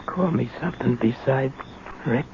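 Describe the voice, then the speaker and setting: An actor playing a rough, remorseful dying father in a 1970s radio drama, speaking halting, slangy tough-guy talk. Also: mid-range voice, close to an everyday voice. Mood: weak, fading. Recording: dull, noisy 1970s radio recording.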